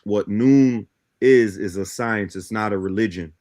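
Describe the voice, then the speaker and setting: Low-voiced New man explaining his beliefs. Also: unhurried, a little mellow. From born in Guyana, grew up in Brooklyn, New York. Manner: didactic, often checks in with "right?". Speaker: guest, teacher of a belief system. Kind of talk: explanatory talk on an interview show.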